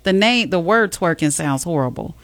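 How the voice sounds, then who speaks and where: Excitable woman hosting a radio call-in. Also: on the low side, moderate pace, dry recording. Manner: animated and excitable, talks over callers. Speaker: radio show host.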